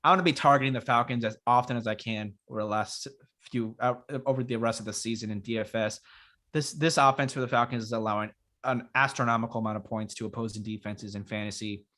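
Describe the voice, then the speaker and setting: A young man co-hosting a casual fantasy football show, his voice raspy from being sick, talking in a relaxed, rambling way with many fillers. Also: medium pitch, fast talker. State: raspy after illness. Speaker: podcast co-host. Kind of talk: casual sports chat.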